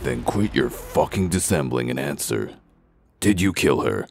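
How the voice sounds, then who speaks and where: A man acts out a gruff comic-book wolf detective with a rumbling, bottom-of-the-range voice full of fry. He is foul-mouthed and blunt, interrogating like someone already convinced of guilt. Faint music plays underneath. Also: very animated, unhurried, dry recording, clean studio sound, exaggerated voice. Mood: accusing, sardonic. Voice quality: very deep, gravelly. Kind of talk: comic dub voice acting.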